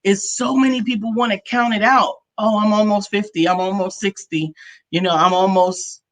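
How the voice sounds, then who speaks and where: A woman's voice: mid-range voice, fairly even intonation, normal speed. A woman in her fifties with an American accent, talking casually as the co-host of a talk show. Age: 50s.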